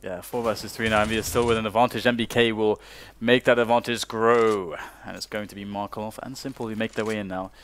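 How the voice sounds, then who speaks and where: A man casting a competitive video game match from a booth, calling the action quickly and rising sharply at big plays. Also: fairly low pitch, a bit bright, a little hiss or hum. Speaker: esports caster. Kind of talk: play-by-play commentary.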